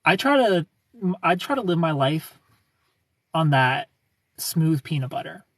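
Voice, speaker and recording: A man in his thirties hosting a casual livestream, reading viewer comments and greeting them by name in a smooth, laid-back way. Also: a little high, very animated, measured pace, a bit bright, no echo, studio quality. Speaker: livestream host.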